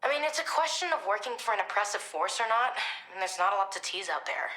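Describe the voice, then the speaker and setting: An actress playing a defiant, sharp-witted teenage girl in a video game, casual and dryly sarcastic. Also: medium pitch, expressive, quick pace, roomy sound, poor audio. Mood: sardonic, defiant.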